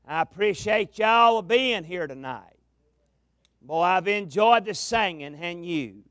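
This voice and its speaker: Slow folksy Southern preacher, high voice. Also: male voice, animated, fairly bright tone, dry recording. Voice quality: gravelly. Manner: folksy, drawn-out preaching cadence. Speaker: pastor, guest preacher. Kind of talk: revival sermon. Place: large tabernacle revival meeting. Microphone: amplified mic at a pulpit.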